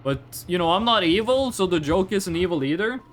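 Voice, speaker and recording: A young Northern Norwegian man with a Norwegian accent chats casually on a game stream, rambling through stories and slipping into mock-formal asides. His voice sits high and swings widely up and down. A faint hum of ambience lies under the recording. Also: lively intonation, normal speed, fairly bright tone. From Northern Norway. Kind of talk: casual livestream chat while gaming.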